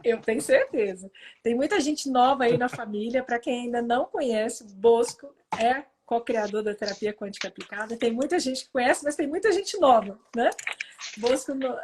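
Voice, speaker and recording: A woman's voice: on the high side, normal speed, darkish tone, breathy edge. A woman with a Goiás Brazilian accent hosting a casual live stream, effusive and thankful, often checking for agreement as she talks. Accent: Goiás Brazilian Portuguese. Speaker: host, quantum therapy teacher.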